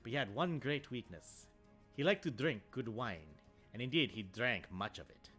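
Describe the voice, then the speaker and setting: A man doing a put-on Italian accent to voice a proud, vengeful nobleman, narrating with measured theatrical delivery. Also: medium pitch, lively intonation, bright, forceful tone, soft background music. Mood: brooding. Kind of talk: dramatic reading aloud.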